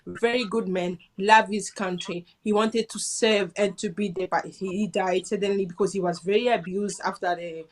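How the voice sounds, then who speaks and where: A woman's voice: medium pitch, steady tone, moderate pace, few pauses, a little hiss or hum. A woman from Congo, a care assistant, earnestly telling her own story as an interview guest. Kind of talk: interview chat.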